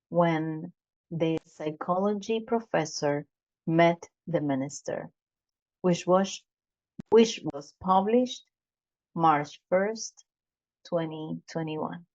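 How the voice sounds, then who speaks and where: A woman hosting a podcast interview, speaking deliberately with some fillers and repeats. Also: on the low side, a little mellow. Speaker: podcast host. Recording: dull lo-fi sound.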